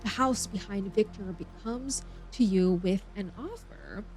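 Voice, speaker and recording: A woman streaming a story game with a medium-pitched voice. She reads the text aloud, sometimes tripping over words, and drops in expressive reactions of her own. Music plays behind her. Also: measured pace, rough recording. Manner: stumbles over words while reading, expressive asides.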